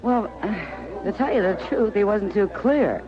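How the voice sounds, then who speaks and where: An actress playing a warm, teasing, confiding frontier saloon woman in a radio western, with an American accent. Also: medium pitch, lively intonation, measured pace, a crowd in the background, lightly acted. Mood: confiding, amused. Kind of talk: 1950s radio western acting. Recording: muffled old radio recording, music.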